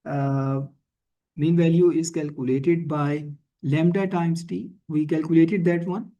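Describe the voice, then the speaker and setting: A man teaching in a screencast tutorial, thinking aloud haltingly and often checking in with a questioning tag. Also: on the high side, steady tone, mellow, lo-fi sound. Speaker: instructor. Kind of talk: screencast programming and statistics tutorial.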